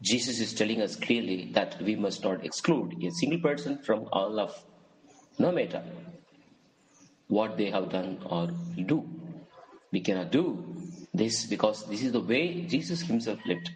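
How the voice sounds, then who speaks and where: A man with a fairly low voice reads scripture and then preaches in an earnest, measured way. He lectures patiently and keeps asking his listeners questions. He is a close-miked voice-over. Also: expressive, low-quality recording. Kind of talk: gospel reading and homily.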